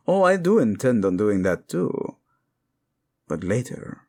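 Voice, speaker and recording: An actor playing a protective, lovesick king in a romantic audio drama, speaking tenderly and haltingly with a Spanish accent. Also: medium pitch, dark tone, breathy edge, exaggerated voice. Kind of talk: intimate romantic audio drama acting addressed to listener.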